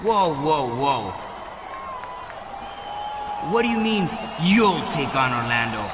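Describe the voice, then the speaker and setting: A man's voice: a little high, very animated, slow pace, a loud crowd. A man playing an assertive, questioning wrestler in a video game cutscene. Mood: indignant. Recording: echoey room, noisy background.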